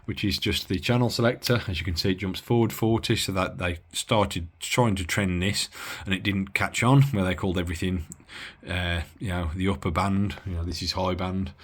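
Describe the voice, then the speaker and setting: A man giving a casual, rambling bench-test walkthrough of an old radio, often pausing and correcting himself. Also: sits low, fairly even intonation. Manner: rambling, self-correcting, lots of filler. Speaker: radio hobbyist reviewing gear.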